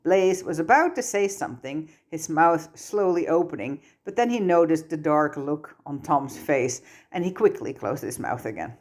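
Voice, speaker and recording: A woman's voice: sits low, expressive, moderate pace, few pauses, darkish tone. A woman reading a story aloud as scripted audiobook-style narration. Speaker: amateur fan fiction narrator.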